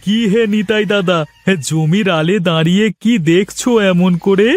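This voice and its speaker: Cartoon neighbour, male actor: nosy, confiding village man, notably high voice, full of cautions. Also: normal speed, lightly acted. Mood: chatty, nosy. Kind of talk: Bengali moral-story cartoon dubbing.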